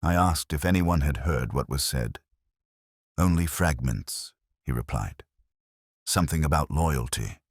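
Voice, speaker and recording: Male narrator for detective audio drama: extremely deep, varied intonation, literary and reflective, measured delivery. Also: expressive, breathy edge, dry recording, studio quality.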